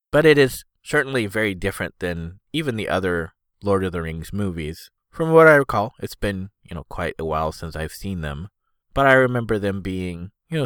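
A man's voice: low voice, fairly bright tone, no room sound, studio quality. A man hosting a solo podcast, rambling casually with lots of fillers, his voice stuffed up and congested. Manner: rambling, filler-heavy, easygoing. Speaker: podcast host.

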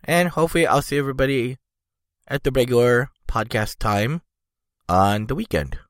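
A man's voice: medium pitch, moderate pace, a bit bright, no room sound, studio quality. A man hosting a podcast, stuffed up and congested, rambling casually with many fillers. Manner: meandering, lots of "you know" and "like". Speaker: podcast host.

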